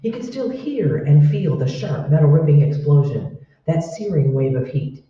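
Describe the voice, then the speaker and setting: A man's voice: fairly high pitch, animated, normal speed. A man narrating a scripted old-time radio drama. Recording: very echoey hall, distant mic, muffled.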